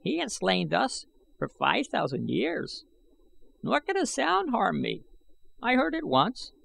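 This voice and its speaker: A man's voice: sits high, wildly swooping pitch, slow, gravelly, fairly bright tone, slightly rough, pitched above the performer's own voice, full character voice. A man voicing a sarcastic, fearless old taxidermist in an adventure storybook. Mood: boastful, fearless. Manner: taunting, stubbornly fearless. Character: sarcastic, defiant old male taxidermist of an alien city.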